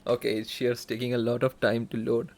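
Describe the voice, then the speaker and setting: Very low-voiced woman streaming a game. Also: flat delivery, a little fast, darkish tone. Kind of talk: casual live playthrough commentary. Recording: stream with faint game music behind.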